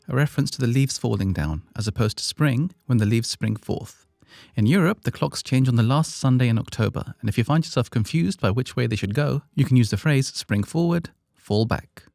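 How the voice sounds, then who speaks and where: A man's voice: medium pitch, gravelly, darkish tone, dry recording, clean studio sound. A British man hosting an educational podcast, reading a script with playful, self-deprecating asides. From Britain. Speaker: podcast host.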